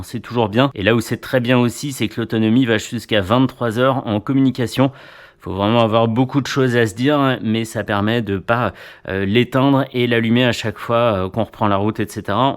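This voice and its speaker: A man reviewing motorcycle gear in a casual, chatty way, with playful little asides. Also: mid-range voice.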